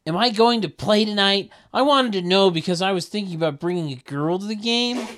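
A man's voice: high-pitched, expressive, a little fast, fairly bright tone, voice pushed up. A man voicing a pushy, teasing sitcom teammate in an amateur table read.

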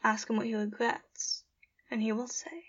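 A woman's voice: on the high side, normal speed, a bit bright, slightly rough, muffled recording. A young woman introducing and reading a poem she wrote. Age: young adult. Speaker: poet.

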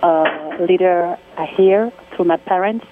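Soft-spoken woman over a tinny phone line. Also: on the low side, slight background noise. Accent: French. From Congo. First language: Swahili, Lingala and French. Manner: soft-spoken, laughs often, halting English. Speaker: guest artist. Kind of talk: phone interview.

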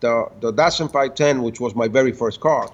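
Halting older man, muffled lo-fi sound. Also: mid-range voice. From Dominican Republic, raised in Puerto Rico. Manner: halting, many restarts, laughs between stories. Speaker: guest, car builder and tuner. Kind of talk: casual interview storytelling. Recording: dull lo-fi sound.

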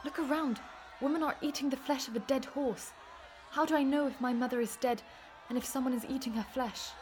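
A woman's voice: high voice, fairly even intonation, brisk, a little hiss or hum, natural voice. An actress playing a desperate, starving woman on a death march in a radio play, insistent and pleading.

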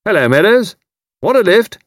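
A man voicing a hearty, blustering older British commander with an upper-class English accent and a loud, barking, clipped delivery in an audiobook. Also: sits high, big pitch swings, normal speed, dry recording, studio quality, voice pushed up. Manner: bluff, emphatic, clipped phrasing.

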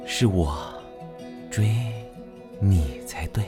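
Man playing a slow, low-voiced romantic young stylist. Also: music in the background. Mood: tender, teasing. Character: devoted, tender young male stylist in love. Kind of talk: romantic audio drama acting.

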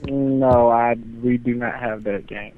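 Tinny phone audio, male store clerk, average pitch, patient, plain-spoken, customer service call. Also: fairly even intonation, takes it slowly, noisy background. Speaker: store employee answering phone. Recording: muffled, band-limited phone line.

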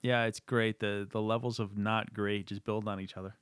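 A man's voice: on the low side, flat delivery, normal speed, fairly bright tone, smooth, no echo. A man in casual chat as a co-host, with dry, sarcastic remarks.

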